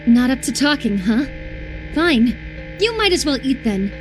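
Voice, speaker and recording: A woman playing an obsessive, sweetly menacing young female captor in a dramatic horror visual novel, coaxing with a sharp edge. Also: high voice, big pitch swings, unhurried, soft background music, poor audio. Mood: coaxing, irritated.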